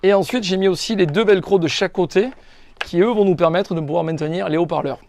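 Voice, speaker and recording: A man's voice: high-pitched, fast, creaky, a little mellow. A man giving an informal, step-by-step product tutorial as a shop presenter, explaining things directly to viewers.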